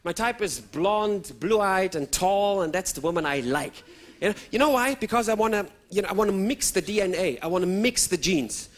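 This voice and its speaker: A man, performing stand-up comedy for a live audience with lively outbursts. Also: sits high, normal speed. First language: German. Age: 30s. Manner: animated, with sudden loud outbursts and put-on voices. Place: stage before a live audience.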